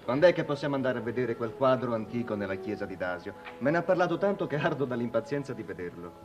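A man's voice: mid-range voice, expressive, muffled recording, music in the background, close to an everyday voice. An actor playing a courteous, eager young nobleman in a 1950s Italian television period drama, on an old soundtrack. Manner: polite, brisk greetings.

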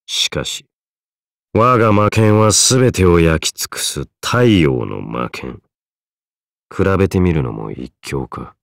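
Low gravelly anime game swordsman, stoic n formal, takes his time. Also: lively intonation, slow, no echo, clean studio sound, full character voice. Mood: solemn. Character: stoic, solemn male warrior with a demon sword. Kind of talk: anime mobile game voice acting.

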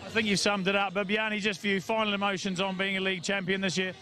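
A man doing post-race interviews at trackside, jokey and quick with his questions. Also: high voice, flat delivery, bright, forceful tone, soft background music. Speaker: trackside reporter.

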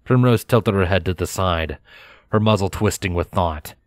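A man narrating scripted fan fiction in a measured, dramatic storytelling manner. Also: low-pitched, no echo.

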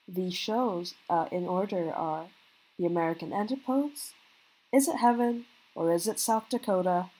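A woman with a husky, breathy voice introduces a night of radio plays. She sounds upbeat and casual and stumbles over a word now and then. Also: fairly low pitch, normal speed, warm tone, low-quality recording. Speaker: show curator introducing the plays.

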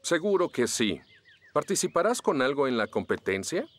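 An actor voicing a friendly, helpful firefighter hero in a children's cartoon dub, with a Latin American Spanish accent. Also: male voice, a little high, sing-song, normal speed.

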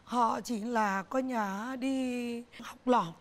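A middle-aged woman, a business owner, answering interview questions on a TV talk show set in an earnest, halting way, often repeating herself. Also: on the high side, steady tone. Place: TV studio set, indoors.

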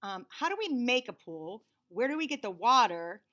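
A woman reads as a young boy in a storybook. He is earnest and questioning, with a higher, lively pitch. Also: moderate pace.

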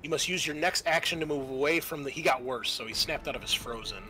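Guy game mastering a tabletop rpg, bright voice, casual and a bit halting, faint music under it. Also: fairly high pitch. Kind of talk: casual tabletop game narration with rules read aloud.

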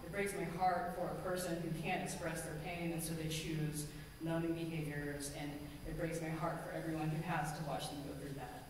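Woman minister, middle-aged, measured sermon delivery, heard from afar in a long-reverb sanctuary. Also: low voice, flat delivery. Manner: measured, earnest, slows for emphasis. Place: large church sanctuary, at a pulpit. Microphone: pulpit gooseneck mic, far camera. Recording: echoey hall from far off, ambient noise.

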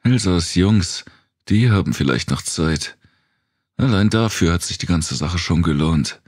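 A man's voice: low-pitched, animated, measured pace, darkish tone, slightly rough, dry recording, studio quality, pitched above the performer's own voice, heavily acted. A man voicing a weary, blunt, sardonic wounded woman survivor in an audiobook. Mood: blunt, bitter. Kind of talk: audiobook character dialogue.

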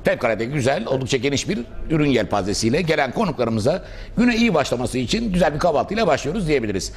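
A man's voice: medium pitch, very animated, fast talker. A middle-aged man hosting a promotional interview in a cafe, speaking formally and ornately and often finishing his guest's sentences. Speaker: interviewer host. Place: indoor cafe restaurant.

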